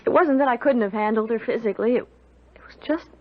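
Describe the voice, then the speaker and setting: Sensitive, hesitant young woman, played by an actress: fairly high voice, earnest radio-drama delivery, slightly muffled, music. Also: fast talker, slight background noise, natural voice. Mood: earnest, uneasy. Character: young woman, curious, sensitive, easily frightened. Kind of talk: old-time radio drama acting. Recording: dull old radio recording.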